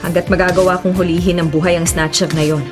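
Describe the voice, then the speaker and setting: Woman playing a low-voiced, determined policewoman. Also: level tone, fast talker, music in the background, natural voice. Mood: resolute. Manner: firm, resolute, dramatic self-narration. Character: determined, dutiful female police officer, fearless. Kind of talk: radio drama acting.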